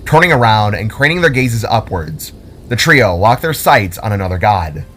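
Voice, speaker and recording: A man reading scripted fantasy story narration in a dramatic, deliberate storytelling manner. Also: on the low side, very animated, a bit bright. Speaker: author and narrator. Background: music.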